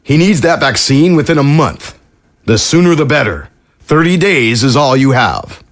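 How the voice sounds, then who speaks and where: An actor playing a cold, smug government agent who delivers threats in an audio drama. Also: male voice, medium pitch, sing-song, moderate pace. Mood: menacing.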